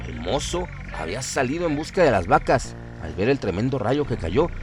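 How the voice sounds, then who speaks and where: A man's voice: medium pitch, slight background noise. A man narrating a scripted horror radio drama in a theatrical, literary storytelling manner.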